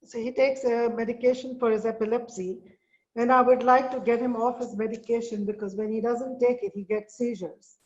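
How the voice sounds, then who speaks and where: A woman's voice: a little high, steady tone, a little fast, darkish tone. Pakistani-accented woman on a muffled call. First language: Urdu. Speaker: caller asking about family health. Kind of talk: conversational question. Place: live video call. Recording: muffled video call audio.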